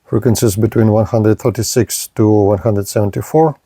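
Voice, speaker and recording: A man narrating a product review and unboxing in short, halting, plain phrases. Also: fairly low pitch, fairly even intonation, mellow, husky, no echo, studio quality. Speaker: gadget reviewer.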